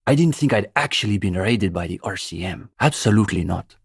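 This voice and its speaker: Earnest, pompous young student radical, husky. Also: male voice, on the low side, expressive, fairly quick, dry recording, studio quality, full character voice. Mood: wry, casual. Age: young adult. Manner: pompous, bookish, precise, lecturing. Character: earnest young male communist student, pompous intellectual zealot. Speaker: student leader of a revolutionary reading group. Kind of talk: video game character dialogue.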